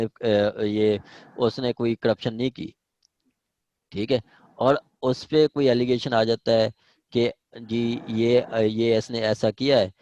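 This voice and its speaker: Male Pakistani lecturer, mid-pitched, dry sound; informal, repetitive, example-led explaining with frequent check-ins. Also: darkish tone, no echo, muffled recording. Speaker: law teacher. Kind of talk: informal law lecture with questions.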